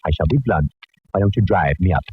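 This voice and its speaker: An actor playing an urbane, theatrical gentleman with a pompous, droll, flowery delivery in a 1940s radio drama. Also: sits low, lively intonation, fast, slightly rough, dry recording, heavily acted. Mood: droll, coaxing. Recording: tinny, narrow-band old radio recording.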